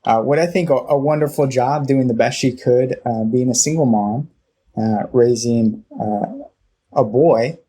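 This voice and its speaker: Husky, mellow Californian man. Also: mid-range voice, measured pace. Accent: Californian American. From Southern California, United States. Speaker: interview guest. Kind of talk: reflective personal storytelling in conversation.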